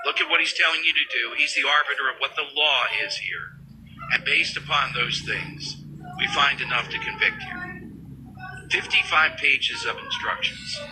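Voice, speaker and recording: A man's voice: mid-range voice, rough recording. A man hosting talk radio, giving opinionated commentary in an emphatic, conversational way with rhetorical questions. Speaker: fill-in radio talk show host. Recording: talk radio broadcast with music behind.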